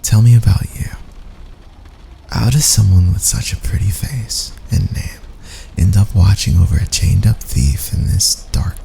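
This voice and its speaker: Male actor as a teasing young fantasy prisoner, intimate ASMR, low creaky voice, breathy playful asides, unhurried, faint background noise. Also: mellow, exaggerated voice. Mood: playful, coaxing. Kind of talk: intimate ASMR boyfriend roleplay.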